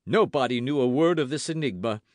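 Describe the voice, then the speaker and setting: A man voicing a boastful, loud musketeer in an audiobook, theatrical and full of exclamations. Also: medium pitch, expressive, a little fast, no room sound, clean studio sound, pitched above the performer's own voice, natural voice. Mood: indignant, scolding. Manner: theatrical, exclamatory, swooping emphasis. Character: boisterous, boastful swaggering musketeer, loud and self-satisfied.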